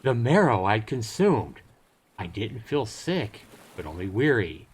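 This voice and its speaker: A man's voice: lowish voice, very animated, a little slow, slightly rough. A man streaming a visual novel, reading it aloud with joking, casual asides. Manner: jokey, bantering asides between read passages. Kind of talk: live game read-aloud with casual banter.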